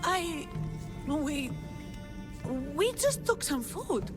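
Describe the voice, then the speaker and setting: A desperate starving villager in a game drama. Her high voice swings widely as she pleads slowly and haltingly, with music behind her. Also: sing-song, full character voice. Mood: desperate pleading. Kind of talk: video game dramatic acting.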